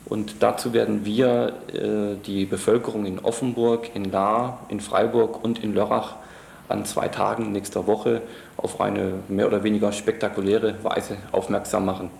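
A man's voice: fairly low pitch, little pitch movement, moderate pace, creaky, darkish tone. A man giving formal, wordy interview answers as a trade union official. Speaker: trade union regional secretary being interviewed. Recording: echoey room, faint background noise.

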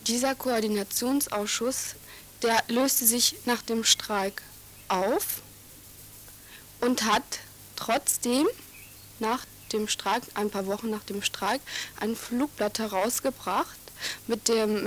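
Young woman, halting student activist. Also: fairly high pitch, slight background noise. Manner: halting, many fillers and restarts, laughing asides. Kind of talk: radio interview.

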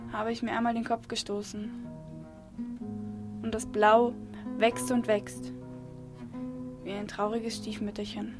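Woman as a wistful poet over music. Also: a little high. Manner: wistful, poetic recitation. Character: lonely, dreamy young songwriter reciting melancholy poetry. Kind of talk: poetic monologue in youth radio play.

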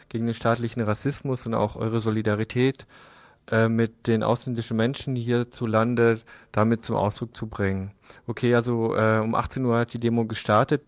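A man's voice: medium pitch, no echo. A man hosting on radio, making an informal live announcement. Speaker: radio host.

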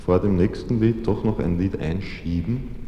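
A man's voice: low-pitched, moderate pace, background noise. A man, a satirical singer-songwriter, giving a spoken introduction to his song at a live performance. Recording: dull, echoey hall recording.

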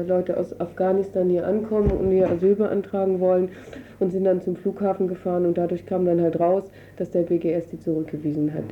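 A woman giving spontaneous interview explanations in a colloquial, hesitant way, searching for words as she goes. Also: fairly low pitch. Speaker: interviewee, refugee council representative. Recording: dull lo-fi radio recording, noisy.

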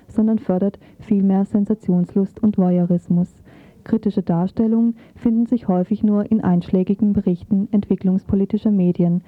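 A woman's voice: mid-range voice, dry recording. A woman reading a scripted report as a radio reporter. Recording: muffled, phone-like narrow band.

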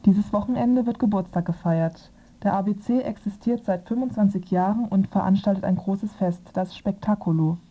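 A woman's voice: medium pitch, moderate pace, slightly rough. A woman reporter narrating a scripted radio magazine piece. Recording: thin, phone-like lo-fi radio sound.

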